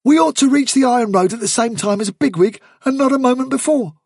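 A man's voice: very high voice, fast talker, breathy edge, dry recording, studio quality, voice pushed up, full character voice. A man voicing a clever male rabbit adviser in an audiobook, earnest, insistent and carefully reasoning. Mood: earnest urging.

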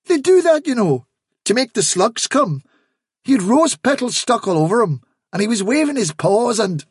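Man voicing an eager, high-pitched, indignant storybook rabbit. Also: expressive, normal speed, husky, dry recording, clean studio sound. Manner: eager, insistent, sulky when doubted. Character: eager, deluded male rabbit witness, servile and easily indignant. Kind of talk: storybook character reading.

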